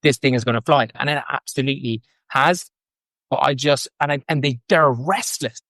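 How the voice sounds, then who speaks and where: A man from Ireland with an Irish accent, a guest in an interview, talking fast and eagerly with frequent restarts. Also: medium pitch, a bit bright, no room sound. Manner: enthusiastic, fast, repeats words and restarts. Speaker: guest, fintech co-founder. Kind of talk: casual interview talk. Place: London.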